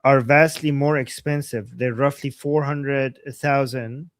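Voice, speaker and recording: A man co-hosting a live stream, laying out a proposal step by step in a measured, explanatory way. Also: mid-range voice, fairly even intonation, no echo. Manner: explains points methodically, brief acknowledgements. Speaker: co-host. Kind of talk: casual live-stream chat.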